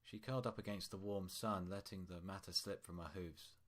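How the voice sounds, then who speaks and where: A man with a low-pitched voice narrates a piece of fiction. He reads scripted prose as the storyteller, in a deep register. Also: fairly even intonation, brisk.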